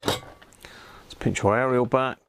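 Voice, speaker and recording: A man's voice: mid-range voice, wildly swooping pitch, slow, creaky, noisy background. A British man chatting casually and rambling on a hobby livestream, often muttering to himself as he tinkers. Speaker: livestream host and radio hobbyist.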